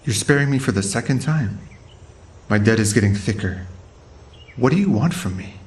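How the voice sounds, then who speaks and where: Man playing defensive guard boss, animated intonation, emphatic, video game drama, faint background noise. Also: on the low side, moderate pace, natural voice. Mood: wary. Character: indebted male guard leader, defensive and incredulous. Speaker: voice actor in a game cutscene.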